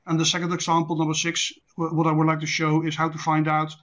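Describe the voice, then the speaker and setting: A man with a Dutch accent, a native Dutch speaker, presenting an online lecture in a measured, deliberate, didactic way. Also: a little high, monotone, a bit bright. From Netherlands. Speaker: presenter, intelligence specialist. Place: online presentation with screen share. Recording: dull lo-fi online call audio.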